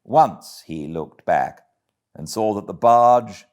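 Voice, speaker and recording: British male audiobook narrator, deep-leaning voice, animated classic storytelling. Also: slow pace. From Britain.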